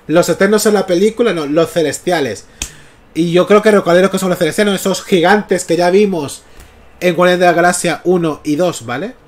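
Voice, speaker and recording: Canarian streamer guy, fairly high voice, casual chatty comic talk on a headset mic. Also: normal speed. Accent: Canarian Spanish. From Canary Islands. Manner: chatty, often checks in with "¿vale?". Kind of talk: casual livestream commentary. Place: small room at home, indoors. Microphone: gaming headset mic.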